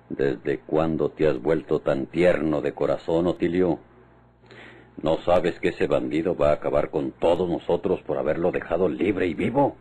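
Casting: radio-drama villain, extremely low husky voice, slow taunting delivery, Mexican accent. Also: male voice, dark tone, full character voice. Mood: threatening. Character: menacing, cold-blooded male villain taunting his victim.

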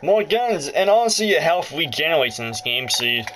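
A man's voice: a little high, big pitch swings, a little fast, background noise. A man giving casual let's play commentary, talking to viewers in a playful, mildly grumbling way. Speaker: gaming video creator. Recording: game music playing from handheld console. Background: loud game music and audio.

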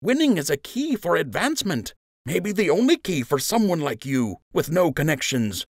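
A loyal young rabbit from a storybook, performed by a man, teasing and urging his friend along. The pitch sits well above a man's usual talk and moves about in a lively, insistent way. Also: normal speed, no room sound, clean studio sound. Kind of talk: audiobook character acting.

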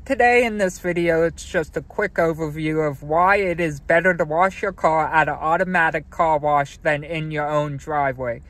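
A man's voice: a little high, moderate pace, few pauses, fairly bright tone. A man hosting a car wash channel, explaining things casually with lots of ums and restarts. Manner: hesitant, many ums and restarts.

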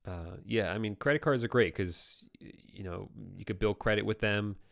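A man's voice: on the low side, moderate pace, gravelly. Young man hosting a tired-voiced live Q&A. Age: young adult. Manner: relaxed, conversational, reads viewer comments aloud. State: voice getting tired and hoarse. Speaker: livestream host answering viewer questions. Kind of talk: casual live Q&A. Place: home studio with brick wall. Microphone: boom-arm studio microphone close up.

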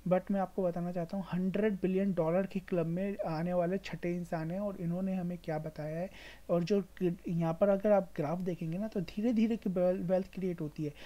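An Indian woman hosts an informal screen-share explainer in a mellow, relaxed voice that sits a little low. She advises emphatically, repeating phrases to drive points home, and mixes English words freely into her speech. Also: fairly quick, highs cut off. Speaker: stock market video host.

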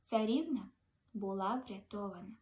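A woman's voice: mid-range voice, normal speed, mellow. A young woman reading a children's fairy tale aloud expressively, as a storyteller. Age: young adult. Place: indoors in a room, seated.

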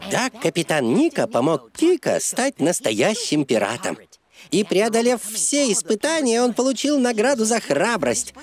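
A man voicing an expressive storyteller narrating a children's cartoon in an explanatory way. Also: sits high, moderate pace, soft background music.

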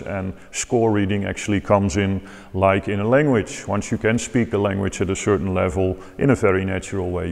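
A measured Dutch-accented man in his forties talks through his teaching ideas, his low, gravelly voice carrying from a distance. The sound rings with the long reverb of a large church. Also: darkish tone. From Netherlands. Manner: measured, thoughtful, gestures with hands while explaining. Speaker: organ teacher. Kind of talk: calm explanatory talk to camera. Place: large church with organ loft, indoors. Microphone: far camera, seated at a table.

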